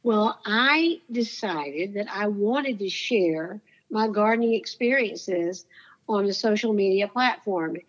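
A woman's voice: mid-range voice, lively intonation, slow, highs cut off. A woman guest in an interview, telling stories in an earnest, heartfelt way with a soothing voice. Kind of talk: casual interview storytelling.

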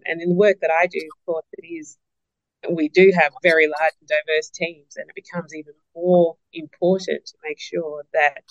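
A woman's voice: sits low, no room sound. Reflective woman guest, dry, muffled. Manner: reflective, repeats words when starting a point, asks herself questions. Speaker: business partner and podcast guest. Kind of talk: interview answers.

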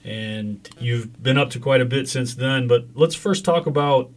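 A man's voice: medium pitch, a little slow. A man hosting a conversational interview, affirming his guest and explaining things for listeners. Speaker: interview host.

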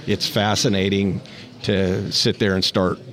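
A man's voice: sits low, level tone, unhurried, a bit bright. A man being interviewed on a podcast, talking in a rambling, thoughtful way with frequent restarts. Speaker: interview guest, rancher. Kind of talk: casual interview.

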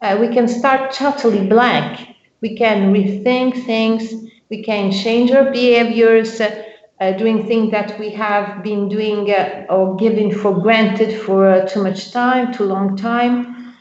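A woman's voice: mid-range voice, fairly even intonation, a little mellow. A woman answers interview questions in a hesitant way, often stopping to find the right word. Her voice sits in a mid range. The recording sounds roomy and a little dull and lo-fi. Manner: hesitant, many pauses to find words. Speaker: bank culture director.

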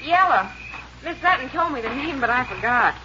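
A ranch wife from an old-time radio play, talking in a homely, reassuring way with a very lively lilt. Her voice comes through a dull, lo-fi transcription. Steady hiss sits under every word. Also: high-pitched, moderate pace. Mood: easygoing. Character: ranch wife Helen, calm and soothing. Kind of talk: 1950s radio drama acting.

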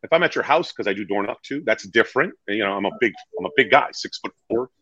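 Male interview guest: deep, earnest, emphatic storyteller with wide swings in pitch, recorded in a dull, lo-fi sound. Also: expressive, quick pace, a bit bright, slightly rough. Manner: earnest, emphatic, acts out quoted dialogue. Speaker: real estate agent being interviewed as a guest.

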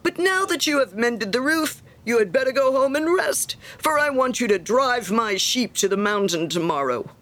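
A self-satisfied storybook miller played by a woman reader, pompous and preachy. He lectures with sanctimonious emphasis, his pitch raised and swinging as he holds forth. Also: lively intonation, moderate pace, few pauses, fairly bright tone. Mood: cheery, self-important. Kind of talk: storybook character reading.

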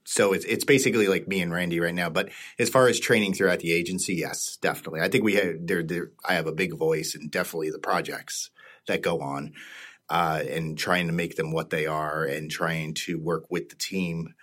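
Male podcast guest, extremely deep husky voice, halting delivery with repeats. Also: fairly even intonation, a bit bright. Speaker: podcast guest, interactive producer. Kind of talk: casual podcast conversation.

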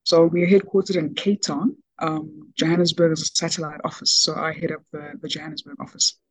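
A woman's voice: low voice, fairly even intonation, a little fast, slightly rough, dry recording, rough recording. A woman originally from Ghana, speaking as an interview guest with frequent ums and restarts. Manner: hesitant, many ums and restarts. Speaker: podcast guest, business executive. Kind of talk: conversational interview.

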